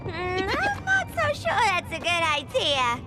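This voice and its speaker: A woman voicing a playful, ditzy clown villain sidekick in a video game, wisecracking in a squeaky voice over loud music. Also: huge pitch swings, slow pace, exaggerated voice. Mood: uneasy. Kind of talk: animated video game acting.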